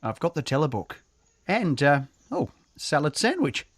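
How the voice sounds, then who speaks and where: A man playing a rambling, easily distracted food lover in an audio drama, halting and savouring his words. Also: a little high, wildly swooping pitch, slightly rough, no room sound, clean studio sound, heavily acted. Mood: blissfully distracted.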